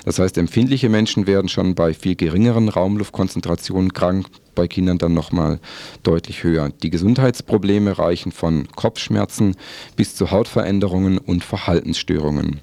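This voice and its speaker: A man presenting a radio magazine, explaining in a halting way as he rephrases between scripted passages. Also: low voice, vocal fry, dark tone, no echo.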